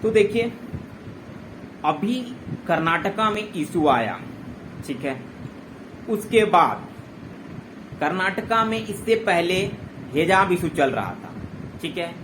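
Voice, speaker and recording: A man's voice: high voice, very animated, slow, long pauses. An Indian man giving an informal explainer commentary in a didactic way, with check-in tags and English words mixed in. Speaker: presenter explaining a current-affairs issue. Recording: clearly noisy amateur recording.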